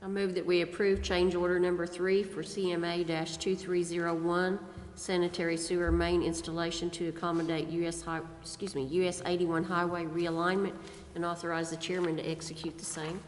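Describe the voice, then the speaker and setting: Woman, council member, formal motion, low-ish pitch, echoing chamber, faint background noise. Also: little pitch movement, a little fast. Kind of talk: formal reading of motions, brief votes.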